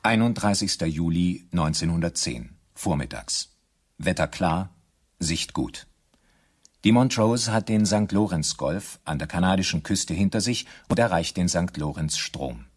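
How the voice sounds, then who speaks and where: Male narrator for radio drama: very low, husky voice, scripted scene-setting. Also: expressive, unhurried.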